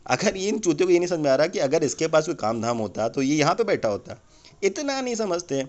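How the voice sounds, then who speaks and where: A man's voice: a little high, sing-song, brisk, darkish tone. A man playing a crude, irritable motivational speaker in a comedy phone-call sketch, ranting bluntly and swearing in a rambling, cynical way. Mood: scornful, boastful.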